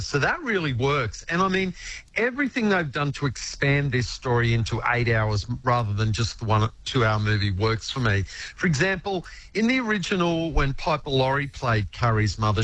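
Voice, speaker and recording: A man's voice: medium pitch, fairly bright tone, rough recording. A man, a TV critic chatting on the radio in an enthusiastic way, with many fillers. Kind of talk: radio review chat.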